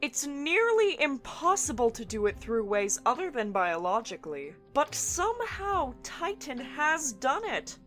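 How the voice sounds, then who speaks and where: Woman as a giddy, animated young scientist; bright, high, swooping delivery. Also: unhurried, hardly any pauses, faint music behind, full character voice. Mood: explaining. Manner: animated, bursts into giddy laughter. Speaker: scientist character. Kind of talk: fan audio drama acting.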